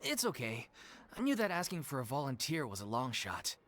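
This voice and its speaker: Humble theater troupe leader, earnest, expressive pitch, game music behind. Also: male voice, brisk, slight background noise. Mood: resigned. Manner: earnest, apologetic. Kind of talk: game cutscene voice acting. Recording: game audio with faint music.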